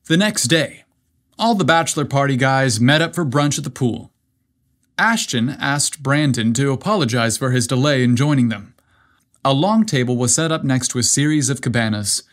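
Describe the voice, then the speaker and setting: A man's voice: mid-range voice, expressive, normal speed. A man narrating a scripted story as the host of a podcast.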